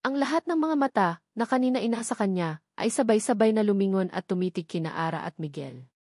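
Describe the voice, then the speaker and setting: A woman's voice: mid-range voice, animated, fast, darkish tone, dry recording, studio quality. A woman reading scripted dramatic narration as the storyteller of an audio drama.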